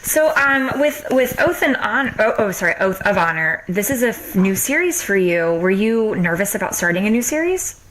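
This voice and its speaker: A woman's voice: mid-range voice, sing-song, moderate pace, a bit bright, slight background noise, rough recording. A woman hosting a podcast interview, speaking casually and informally with affirming, slightly hesitant phrasing.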